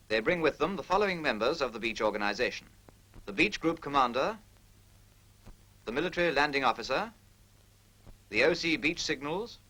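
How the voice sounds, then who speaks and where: A man's voice: a little high, bright, forceful tone, low-quality recording. A British man reading formal scripted narration in the manner of a 1940s military training film, precise, instructional and impersonal.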